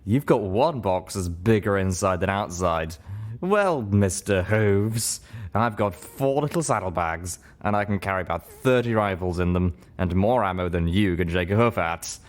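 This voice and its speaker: A man's voice: sits low, expressive. A man voicing a boozy, caring female pony with slurred speech in an audiobook story. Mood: boastful, slurred. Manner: slow, slurred.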